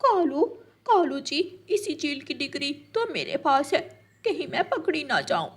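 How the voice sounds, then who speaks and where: Woman voicing a very shrill, sly cartoon crow. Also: very animated, unhurried. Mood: panicked. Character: sly, cowardly, pleading female crow fake doctor.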